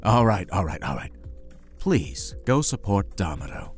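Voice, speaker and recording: A man's voice: lowish voice, sing-song, measured pace, faint music behind. A man playing a hot-tempered, sarcastic mobster in a cartoon audio drama. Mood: grudging, sarcastic.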